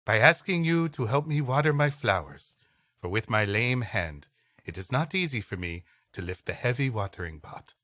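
Man reading as a courteous storytelling lady, bright-toned. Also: medium pitch, expressive, moderate pace, no echo, studio quality. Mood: gently coaxing. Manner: formal, old-fashioned storytelling. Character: courteous Latin American lady recalling her frontier past.

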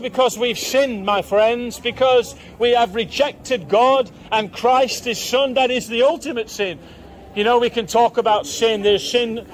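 A man preaching in the open air on a busy town market street, firing repeated questions at passers-by. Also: very high voice. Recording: outdoor street with faint crowd.

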